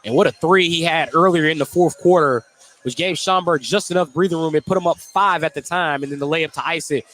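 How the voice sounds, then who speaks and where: High-voiced man calling basketball play-by-play. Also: brisk, fairly bright tone, soft background music, rough recording. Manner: clipped, running description of the action. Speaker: play-by-play announcer. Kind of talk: live high school basketball radio commentary.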